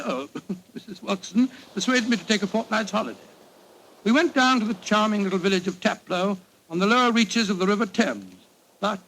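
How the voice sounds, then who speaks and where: An actor playing a genial, fussy middle-aged English doctor with an avuncular, blustering British manner in 1940s radio style. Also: male voice, high-pitched, creaky, a bit bright. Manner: avuncular, blustering, old-fashioned turns of phrase. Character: Doctor Watson, genial fussy English doctor telling his old tales. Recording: noisy vintage 1940s radio recording.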